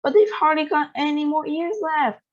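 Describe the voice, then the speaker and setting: An excitable old grandfather shouts in a raised, bouncing voice , as a woman reads him. He yells rather than talks. The sound is slightly muffled. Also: a little high, a little fast, voice pushed up. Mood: panicked. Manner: yelling, excited.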